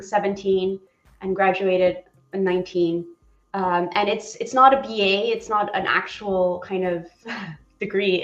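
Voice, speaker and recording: A woman in her forties from Poland talks in a lively, chatty way as an interview guest. Her voice sits at an ordinary pitch, and the sound is roomy and a little muffled. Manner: chatty and animated, with laughs and many fillers. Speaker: animator guest. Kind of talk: casual interview chat.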